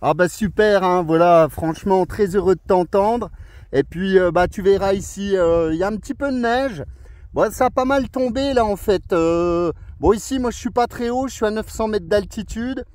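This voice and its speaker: High-voiced man chatting on radio. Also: darkish tone, dry recording. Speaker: amateur radio operator. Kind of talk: casual radio contact chat.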